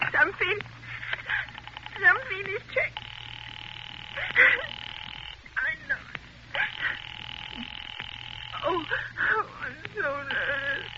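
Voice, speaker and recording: An actress playing a wealthy, imperious, self-pitying invalid wife in a 1940s radio thriller, nervous, stammering and melodramatic. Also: female voice, high voice. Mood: nervous, fearful. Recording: scratchy, muffled old radio broadcast, hiss.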